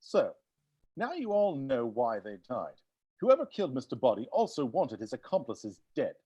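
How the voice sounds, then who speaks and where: An actor voicing an excitable butler who explains a murder mystery in a theatrical, emphatic way during a comedy table read. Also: mid-range voice, moderate pace, husky, dull audio. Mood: explanatory, confident. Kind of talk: live table read of a comedy film script.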